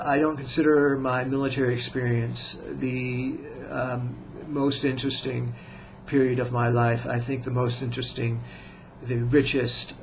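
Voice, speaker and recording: Slow, gravelly-voiced American man in his fifties. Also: medium pitch, lo-fi sound, slight background noise. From United States. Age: 50s. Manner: slow, measured and deliberate, with many hesitant pauses. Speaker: writer and vlogger. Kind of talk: self-introduction to camera.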